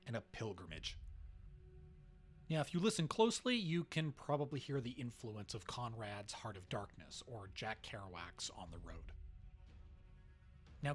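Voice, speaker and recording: A man's voice: mid-range voice, a bit bright. A man hosting and narrating a scripted look back at a series he created.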